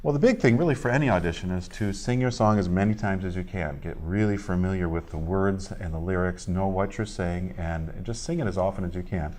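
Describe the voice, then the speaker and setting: A man being interviewed as a theatre director, explaining a show in a measured way with short halting pauses. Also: low-pitched, a little mellow.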